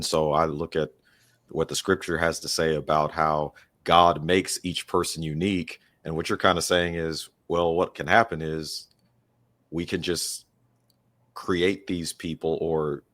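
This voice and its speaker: Deep voiced guy hosting a podcast, casual, thinks out loud with lots of restarts. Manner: thoughtful, searching questions with restarts. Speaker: podcast host. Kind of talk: casual interview conversation.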